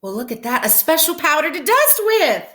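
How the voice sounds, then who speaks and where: Woman voicing a folksy, cheerful storybook housekeeper, very animated. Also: high voice, normal speed, echoey room, voice pushed up. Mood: delighted. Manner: folksy, chatty, musing aloud. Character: cheerful, literal-minded housekeeper in a children's storybook.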